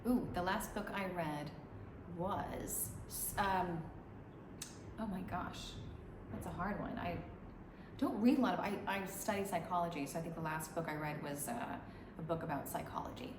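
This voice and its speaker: A woman's voice: lowish voice, normal speed. Chatty woman in an echoey room. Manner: chatty, rambling answers with many restarts. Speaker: musician interviewee. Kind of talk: casual chat. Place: room in Dubai. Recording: echoey room with background noise.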